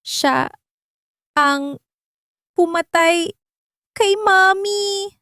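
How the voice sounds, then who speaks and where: A woman voicing a traumatized little girl who strains to get her words out in a halting way. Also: very high voice, bright, forceful tone, no echo, studio quality, pitched above the performer's own voice, full character voice. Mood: anguished, accusing. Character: traumatized little girl breaking a long silence.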